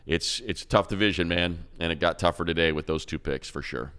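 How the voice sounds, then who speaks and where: A man with a very deep, smooth, clear voice talks football in a loose, casual way. He restarts sentences and trips over his words as he thinks aloud, in a relaxed way. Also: a little fast. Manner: rambling, many restarts and repeated words. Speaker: radio play-by-play announcer and podcast co-host. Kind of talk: casual sports chat.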